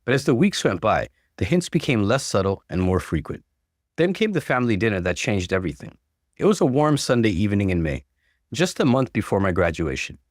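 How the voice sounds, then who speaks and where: A man reads a personal story aloud with lively, swinging intonation. His delivery is earnest and expressive, with clear articulation. The sound is close and dry, with no room around it. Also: fairly low pitch, no echo. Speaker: story narrator. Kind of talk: expressive first-person story narration, acting out dialogue.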